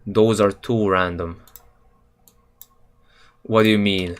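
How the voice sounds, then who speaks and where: A man's voice: fairly low pitch, fairly even intonation, takes it slowly. Laid-back young man from Serbia streaming. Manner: laid-back, rambling, slangy, with many fillers and self-corrections. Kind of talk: casual live-stream chat while building a game level. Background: keyboard and mouse clicks.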